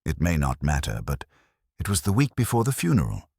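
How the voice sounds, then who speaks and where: Man reading a hesitant woman witness, deep register. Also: wildly swooping pitch, fairly quick, gravelly, darkish tone, slightly rough, no room sound, clean studio sound, full character voice. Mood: uneasy. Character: neat, hesitant female surgery assistant witness.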